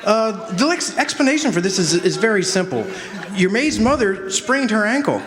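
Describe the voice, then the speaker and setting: A man playing a friendly, apologetic gentleman in a radio drama, polite and hesitant. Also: high-pitched, sing-song, normal speed. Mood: sheepish. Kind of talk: live stage radio drama acting. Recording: echoey hall, faint audience.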